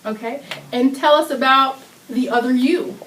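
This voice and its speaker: A woman's voice: high-pitched, normal speed, a bit bright, far from the mic, a little hiss or hum. A woman hosting a talk show, interviewing her guest in an upbeat, chatty way. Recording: roomy echo, voice from off mic.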